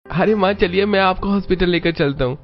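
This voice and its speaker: A man's voice: high-pitched, fairly quick. A man playing a kind, supportive young husband in a cartoon family story, in a dull recording with music behind. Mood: earnest. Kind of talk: Hindi cartoon story dialogue.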